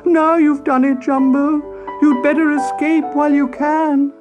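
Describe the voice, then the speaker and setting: Wailing, high-pitched mournful old piano. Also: little pitch movement. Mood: dismayed. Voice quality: wailing, groaning. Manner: plaintive, drawn-out.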